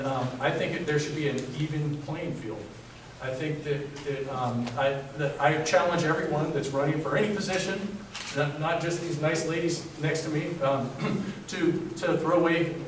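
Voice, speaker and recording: A man speaking earnestly as a candidate in a debate, halting and repeating words. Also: on the high side, background noise. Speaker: political candidate. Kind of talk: debate statement. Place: public event hall. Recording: echoey hall from far off.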